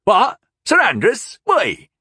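A man's voice: high-pitched, wildly swooping pitch, very slow, bright, forceful tone, slightly rough, dry recording, studio quality, pitched above the performer's own voice, exaggerated voice. A man voicing a startled country gatekeeper who answers in alarm. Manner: alarmed, stammering questions. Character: startled rustic male gatekeeper, nervous.

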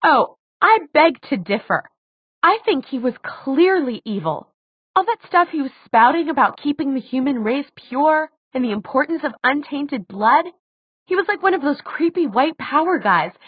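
A woman narrator plays a teenage heroine of an audiobook, emotional and incredulous. Her voice sits high and swings with feeling, every objection leaned on. The recording is dry and slightly dull. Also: lively intonation, moderate pace, no room sound. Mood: outraged, incredulous. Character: upset, sarcastic teenage girl heroine.

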